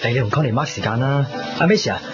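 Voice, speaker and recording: A busy, curt male office boss played by an actor rattles out instructions in Hong Kong Cantonese radio-drama style. His voice is mid-pitched and very fast, on a muffled recording with music behind. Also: lively intonation, lightly acted.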